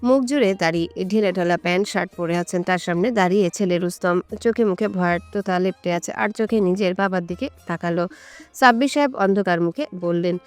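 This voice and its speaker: A woman's voice: on the low side, fairly quick, clear, resonant tone, faint music behind. A woman reading a scripted romantic story as its narrator.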